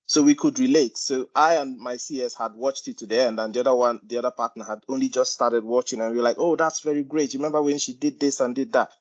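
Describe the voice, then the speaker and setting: A man's voice: mid-range voice, fairly even intonation, quick pace, hardly any pauses. A man speaking on a video call as a meeting panelist, sharing personal stories with lots of fillers. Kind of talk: online meeting chat. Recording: dull lo-fi call audio.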